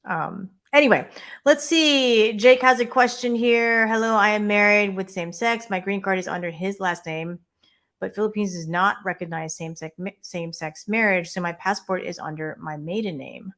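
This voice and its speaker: A woman co-hosting a live immigration Q&A stream, chatting and giving quick practical tips. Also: mid-range voice, a bit bright. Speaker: immigration lawyer co-host.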